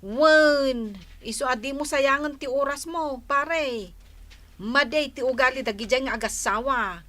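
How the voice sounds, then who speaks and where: A woman acting in an Ilocano radio drama as an excitable, gossipy, touchy village woman with an Ilocano accent. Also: high voice, unhurried. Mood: resentful, scornful.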